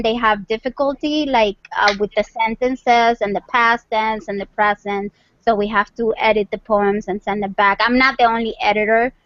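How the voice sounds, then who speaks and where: A woman's voice: medium pitch, a bit bright, no room sound. A woman speaking as a guest poet on an online livestream. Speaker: guest poet and volunteer editor. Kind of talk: poetry reading and casual discussion on a livestream. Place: indoors on an online group call. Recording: dull lo-fi online call audio.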